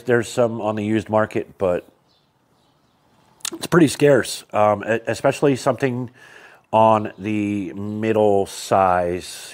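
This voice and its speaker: Rambling American man vlogging on a farm. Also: low-pitched. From United States. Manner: rambling, thinking aloud with many fillers and restarts. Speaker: homesteader vlogger. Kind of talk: casual vlog talk to camera. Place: farm shed, yard and barn, partly outdoors. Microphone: clip-on lapel mic.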